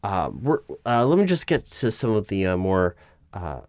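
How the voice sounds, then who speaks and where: A man playing a flustered, deferential recruiting manager in an interview roleplay, halting, stammering and awkwardly polite as he talks. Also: fairly low pitch, sing-song, darkish tone, slight background noise. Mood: awkwardly formal. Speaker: roleplay performer. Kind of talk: spoken audio roleplay, one-sided job interview.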